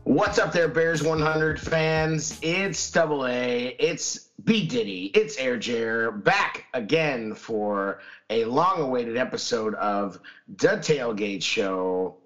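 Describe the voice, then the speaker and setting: A man with a hoarse, scratchy voice after losing it, co-hosting a casual chat show and rambling with many restarts. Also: medium pitch, expressive, slow, fairly bright tone, muffled recording, faint music behind. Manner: rambling, lots of fillers and restarts. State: hoarse, recovering lost voice. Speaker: podcast co-host.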